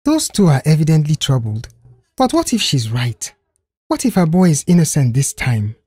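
A woman's voice: sits low, sing-song, moderate pace, dark tone, breathy edge, dry recording, exaggerated voice. A woman as a composed, dignified mother. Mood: doubtful. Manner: placating, composed, firm. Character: calm, dignified middle-aged mother standing her ground.

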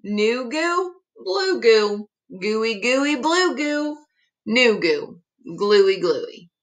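A middle-aged woman reading a children's picture book aloud expressively, articulating tongue twisters with deliberate care. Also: fairly high pitch, sing-song. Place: living room at home.

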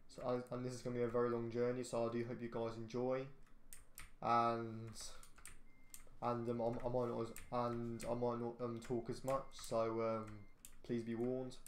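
A man's voice: medium pitch, completely flat delivery, normal speed, reverberant, slight background noise. A man gives casual, rambling game commentary from his bedroom, full of fillers and restarts. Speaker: gaming YouTuber. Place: echoey bedroom.

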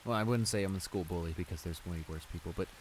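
A man's voice: sits low, fast talker, close to an everyday voice. A man playing a shy, flustered school bully in an audio roleplay, stammering and hesitant as he apologizes and gets embarrassed. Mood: disbelieving. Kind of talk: intimate improvised audio roleplay acting. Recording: rain noise behind the voice. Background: steady rain.

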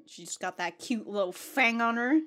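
A woman's voice: on the high side, moderate pace, sharp tone, faint music behind. A young adult woman giving casual, blunt reaction commentary with amused, sweary asides, close on a desk microphone in a small home room. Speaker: anime reaction host. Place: small home bedroom studio.

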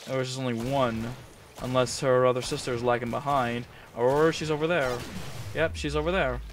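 A man's voice: mid-range voice, steady tone, normal speed, slight background noise. A young man casually commentating a shooter game at home, rambling and swearing as he chats with his viewers over a desk mic. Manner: rambling, profane, chatty with viewers. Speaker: gaming commentator. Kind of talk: casual let's-play commentary. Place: small room at home.

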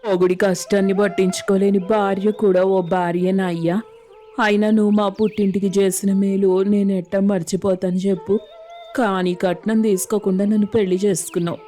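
A woman voicing a devoted, pious village wife in an animated moral story, speaking in an earnest, rustic, colloquial way. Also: mid-range voice, normal speed, natural voice. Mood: affectionate, grateful. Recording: music under the voice.

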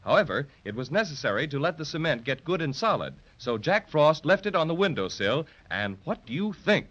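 An actor as a genial, folksy storyteller narrating a 1930s children's radio serial. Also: male voice, a little high, very animated, brisk, fairly bright tone. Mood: genial, suspenseful. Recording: dull lo-fi 1930s radio recording.

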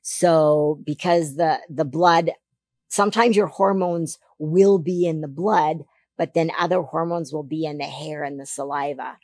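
A woman in her forties from Canada, a podcast guest, talking emphatically about health in a casual interview into a boom mic in a studio. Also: a little slow, no room sound. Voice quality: deeper voice than she once had. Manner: emphatic, animated advice-giving. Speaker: podcast guest, figure competitor. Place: studio set on a sofa. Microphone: boom mic on a stand.

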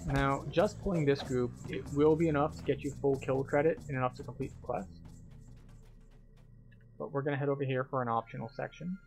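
A man's voice: mid-range voice, fairly even intonation, brisk, gravelly, a little mellow, faint music behind. A man giving casual, step-by-step commentary as he guides viewers through a video game. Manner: explanatory, step-by-step.

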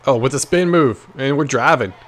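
Guy doing chill gameplay commentary, average pitch, lots of slang, repeats stuff, talks back at the game. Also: moderate pace, a bit bright, soft background music. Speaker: gaming video host.

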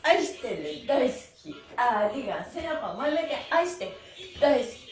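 A middle-aged woman hosting a live exercise stream in animated, cutesy and polite instructor patter. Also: high-pitched, a little slow, soft background music. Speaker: pantomime performer and stretch class host. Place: small indoor room with bare walls. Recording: roomy echo from camera mic.

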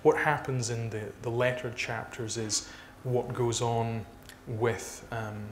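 A man in his thirties speaking haltingly as an author being interviewed on stage. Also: mid-range voice, fairly even intonation. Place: small dark stage before a seated audience. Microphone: lapel mic. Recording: noisy live event room recording.

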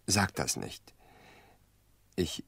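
An actor playing an earnest, doubting man in love, halting and husky, in a radio drama. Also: fairly bright tone, no echo. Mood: pleading. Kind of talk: German radio drama acting.

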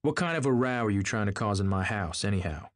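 A man's voice: on the low side, expressive, fast talker, no echo, clean studio sound, natural voice. Man acting a blustering, pompous, indignant husband. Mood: indignant, incredulous. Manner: pompous, incredulous, snapping. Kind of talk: audiobook character acting.

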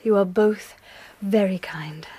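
An actress playing a courteous, composed young English governess in a radio drama, speaking politely. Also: female voice, medium pitch, slow, mellow, slightly rough. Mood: polite, grateful.

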